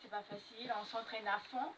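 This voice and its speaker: A woman's voice: fairly high pitch, fairly even intonation, fairly quick, distant mic. A young woman giving spontaneous interview answers as a volleyball player. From French Guiana. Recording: slightly noisy, off-mic radio interview.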